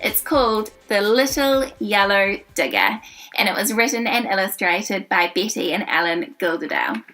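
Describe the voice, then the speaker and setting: A woman with a New Zealand accent hosts a children's story show, reading a rhyming picture book aloud with animated emphasis and drawn-out key words. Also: medium pitch, faint music behind, low-quality recording.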